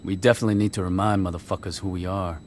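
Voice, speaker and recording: A man's voice: low-pitched, fairly even intonation, very fast, big-room sound, a little hiss or hum, close to an everyday voice. A man voicing a tough, blunt, commanding street gang boss in a video game, with terse, profane street talk. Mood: dry, resolute. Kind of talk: video game cutscene acting.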